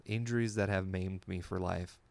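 A man's voice: sits low, steady tone, normal speed, gravelly, darkish tone, dry recording. A man in his thirties hosting a podcast, talking in a casual, plainspoken, conversational way. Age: 30s. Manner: informal, plainspoken. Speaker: podcast host.

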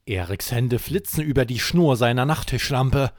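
A man hosting a children's podcast, narrating a scripted bedtime story in an expressive, deliberate way. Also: mid-range voice, dry recording, studio quality.

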